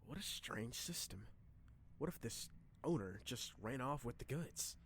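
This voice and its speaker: Man playing a lively, cocky teenage boy. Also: medium pitch, unhurried, a little hiss or hum. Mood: casual. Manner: brash, jokey, dramatic outbursts. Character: cocky, awkward, excitable teenage boy hero. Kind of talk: anime fan dub acting.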